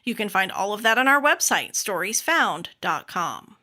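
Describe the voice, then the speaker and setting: A woman's voice: medium pitch, big pitch swings, moderate pace, over loud music. A woman hosting a podcast, reading scripted announcements. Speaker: podcast host.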